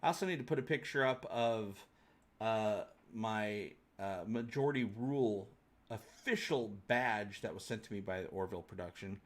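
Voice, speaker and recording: A man's voice: on the low side, moderate pace. A man hosting a fan livestream, chatting casually and answering viewers in a rambling, jokey, colloquial way. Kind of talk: casual chat, answering live viewer comments.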